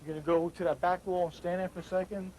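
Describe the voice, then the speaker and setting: A man's voice: high-pitched, flat delivery, quick pace, dark tone, a little hiss or hum, low-quality recording. A male police officer explaining procedures and instructing people in a procedural, matter-of-fact manner. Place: busy street outdoors at night.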